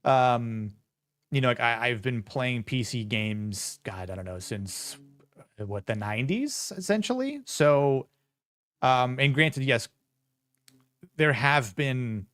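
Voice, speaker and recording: A man's voice: medium pitch, fairly bright tone, no room sound. A man hosting a livestream, chatting casually and rambling with many fillers and restarts into a close mic. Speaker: streamer and show host.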